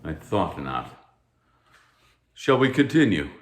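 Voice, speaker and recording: An actor playing a stern, pompous anatomy professor, speaking formally and like a lecturer in a theatrical read. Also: male voice, low voice, very animated, takes it slowly, roomy sound, slight background noise, full character voice. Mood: grim, haughty. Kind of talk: theatrical table read of a stage musical.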